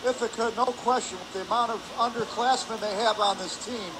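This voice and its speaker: A man's voice: high-pitched, fairly even intonation, fairly quick. A man giving live hockey analysis as a color commentator on a game broadcast. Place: indoor ice hockey arena. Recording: noisy arena broadcast with ambient hum. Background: clear ambient arena noise.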